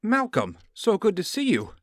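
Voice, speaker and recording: A man's voice: high-pitched, huge pitch swings, moderate pace, a bit bright, a little hiss or hum, exaggerated voice. A man playing a genial, unruffled friend in an audio drama, affable, wry and reassuring.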